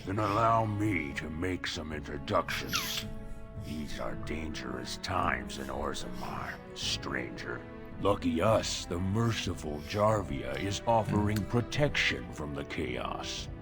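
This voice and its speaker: An actor playing a menacing gang thug in a video game, speaking with mock politeness and open threat. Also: male voice, extremely low pitch, lively intonation, takes it slowly, gravelly, over music, full character voice. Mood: mocking menace. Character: menacing male gang thug shaking down merchants. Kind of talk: video game character acting.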